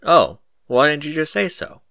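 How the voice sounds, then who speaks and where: A man's voice: a little high, big pitch swings, normal speed, gravelly, sharp tone, no echo, studio quality, voice pushed up, exaggerated voice. A man voicing a cool, sly, proud army captain in a story, speaking in a matter-of-fact way.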